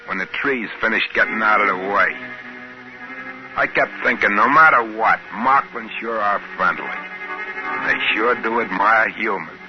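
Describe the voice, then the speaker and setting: A man's voice: fairly low pitch, close to an everyday voice. An American actor playing a folksy, easygoing male space trader in a 1950s radio drama. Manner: folksy, colloquial. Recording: dull band-limited 1950s radio recording, music behind.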